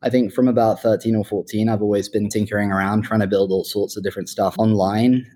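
A man's voice: lowish voice, darkish tone. A man in his twenties giving conversational interview answers, talking earnestly and enthusiastically about his own story. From Hong Kong and UK. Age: 20s. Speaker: startup founder being interviewed.

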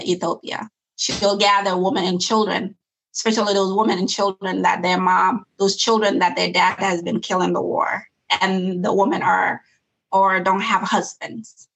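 A woman's voice: mid-range voice, gravelly, fairly bright tone, husky, poor audio. A woman from Sudan, a nonprofit founder speaking earnestly and reflectively in an interview, often restarting her sentences. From South Sudan. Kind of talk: interview conversation.

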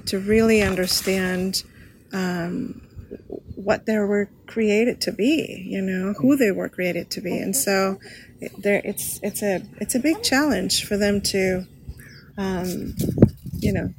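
Woman chatting in an interview, mid pitch, keeps searching for words, bit of noise behind her. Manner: hesitant, searching for words, repeating phrases. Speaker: teacher and children's author being interviewed. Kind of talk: casual interview talk.

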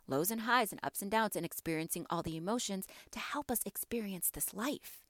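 A mother hosting a motivational podcast, her voice a little raspy from allergies, talking earnestly and encouragingly and asking her listeners question after question. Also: medium pitch, no room sound, clean studio sound. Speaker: podcast host.

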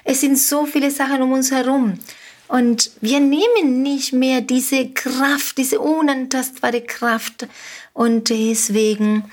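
A woman with a Spanish accent, a native Spanish speaker from Uruguay, hosting a motivational podcast with slow, repetitive, emphatic phrasing. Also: sits high, steady tone. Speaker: podcast host, coach. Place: home studio on an island.

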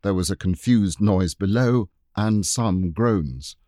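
A man narrating a children's classic audiobook in an expressive, measured storytelling manner. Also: low voice, no echo, studio quality. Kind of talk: scripted narration.

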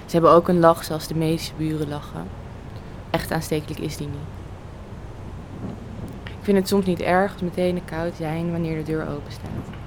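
A woman reciting a poetic monologue as a spoken word poet. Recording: clear rumbling noise behind voice.

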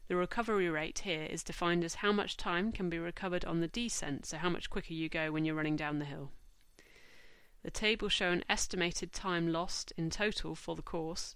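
A woman hosting a podcast, stuffy from a cold, speaking in a measured, informative way. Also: fairly low pitch, fairly bright tone, smooth, no room sound. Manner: measured, informative, reads lists slowly. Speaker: podcast host. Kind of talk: scripted podcast monologue.

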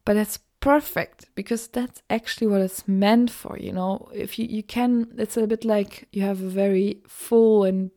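A woman with a German accent talks through a solo spiritual podcast, searching for her words and slipping into soft whispers. Her tone is mellow and dark, with a gravelly fry under it. She sounds gentle and unhurried. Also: mid-range voice, fairly even intonation. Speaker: podcast host.

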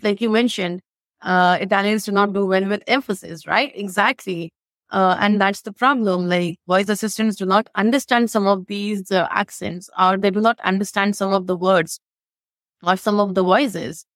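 A woman's voice: medium pitch, dry recording. A woman from India, a guest on a podcast, explaining with examples and tags like "right?". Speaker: interview guest, AI expert. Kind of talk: casual interview chat.

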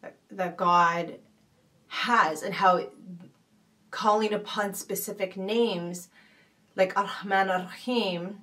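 Woman podcast host, mid-pitched, reflective and unhurried, with lots of ums and restarts, set a little back from the mic. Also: fairly even intonation, distant mic. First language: not Arabic. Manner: thoughtful, many fillers and restarts, gentle laughs. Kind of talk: casual reflective talk.

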